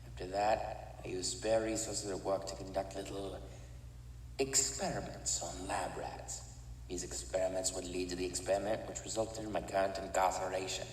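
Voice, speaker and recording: A man playing a disfigured criminal chemist, speaking in a calm, detached, matter-of-fact way in an audio drama. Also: deep voice, normal speed, close to an everyday voice. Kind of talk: fan audio drama acting. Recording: echoey, distant room with faint noise.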